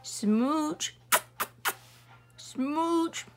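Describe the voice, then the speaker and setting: A woman's voice: sits high, extremely slow, over music, pitched above the performer's own voice, heavily acted. Woman voicing a glum sulky fish. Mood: overjoyed. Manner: mopey, resigned.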